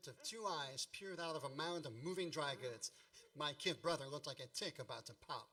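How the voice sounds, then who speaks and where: Bright-voiced wry storyteller, played by a man. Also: a little high, moderate pace, distant mic. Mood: mock-epic. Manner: wry, mock-epic. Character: grown man wryly recalling his boyhood. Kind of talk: scripted radio play narration.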